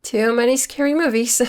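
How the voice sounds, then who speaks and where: A woman's voice: fairly high pitch, steady tone, measured pace, a little mellow, lightly acted. A woman acting in an ASMR roleplay as a playful, evasive girlfriend who is secretly a witch, talking intimately in a coy, teasing, casual way. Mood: playfully defensive. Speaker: voice actor.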